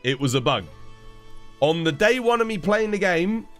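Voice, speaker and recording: Guy, congested nasal voice, lively up-and-down delivery, faint music behind, game streamer chatting. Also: high-pitched, moderate pace. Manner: chatty, answers viewers' questions while playing. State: congested, stuffed-up nose. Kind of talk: casual live-stream chat.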